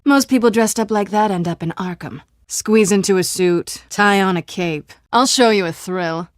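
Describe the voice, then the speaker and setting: An actress plays a fearless female thief in a video game. She teases and taunts with flirtatious ease, in a medium-pitched voice recorded dry and close. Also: normal speed, dry recording, natural voice. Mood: teasing, flirtatious. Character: sly, teasing, fearless female cat burglar.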